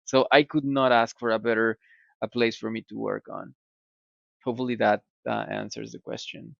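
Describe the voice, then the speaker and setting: A gravelly-voiced man with a Mexican Spanish accent answers questions in English, stopping and restarting his phrases often. He sounds like he is in his forties. His voice comes over a dry, somewhat muffled video call. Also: fairly low pitch, flat delivery, no echo. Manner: halting, many fillers and restarts. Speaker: guest, computer scientist. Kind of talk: casual interview answers. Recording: slightly dull video call audio.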